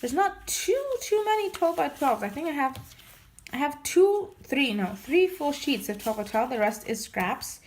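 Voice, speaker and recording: A woman chatting casually in a vlog, her voice slightly hoarse as she gets over losing it, rambling with many restarts and fillers. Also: high voice, animated, a little slow, a little hiss or hum. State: recovering from lost voice, slightly hoarse. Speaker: scrapbooking vlogger. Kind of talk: casual show-and-tell chat.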